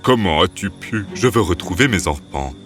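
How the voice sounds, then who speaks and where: A tender, grieving cartoon father, acted by a man, with a low voice. He speaks gently and emotionally in a fairy tale, with music clearly behind him. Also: animated, moderate pace. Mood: distraught.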